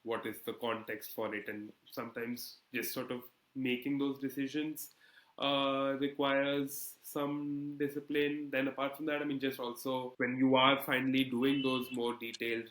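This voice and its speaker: A man with a mid-pitched voice talks in a conversational interview style, recorded in a noticeably echoey room. He phrases his answers carefully and hesitantly, thinking them through as an editor explaining his work. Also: level tone, a bit bright. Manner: measured, hesitant, thoughtful phrasing with hedges. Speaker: magazine politics editor being interviewed.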